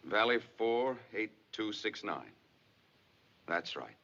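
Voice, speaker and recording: An anxious, earnest husband in a 1950s Hollywood drama. His voice is bright and forceful, with very lively swings in pitch. The sound is a dull old film soundtrack. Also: vocal fry, heavily acted. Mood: businesslike, subdued. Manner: halting, earnest. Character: anxious, estranged husband and father phoning his wife.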